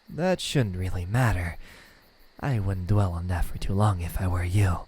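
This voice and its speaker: Man playing a low, husky, haughty demon. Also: a little mellow, no echo, heavily acted. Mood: menacing, smug. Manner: slow, halting, condescending speech with stammers and sighs. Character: lonely immortal male demon, menacing yet flustered, secretly tender. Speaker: voice actor. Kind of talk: ASMR roleplay.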